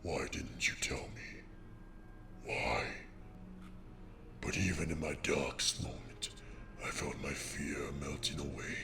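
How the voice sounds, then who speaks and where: A brooding unicorn tyrant in an animated comic drama, very deep and gravelly. He speaks slowly, with bitter menace and a melodramatic edge, over background music. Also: exaggerated voice. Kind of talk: melodramatic comic fandub acting.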